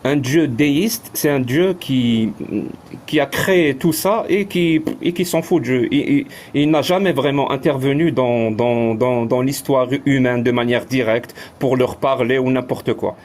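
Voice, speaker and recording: A man's voice: medium pitch, unhurried, a little mellow, a little hiss or hum. A man from Algeria, an atheist, explaining his ideas in a casual live debate and often repeating words as he searches for the next one.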